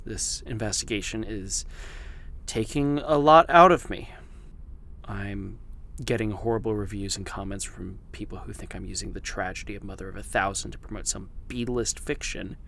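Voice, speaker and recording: Haunted, low-voiced investigator, tense and brooding. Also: male voice, gravelly, fairly bright tone. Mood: weary, confessional. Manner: brooding, halting, confessional. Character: haunted, exhausted male investigator obsessed with his family mystery. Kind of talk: audio drama, recorded log narration.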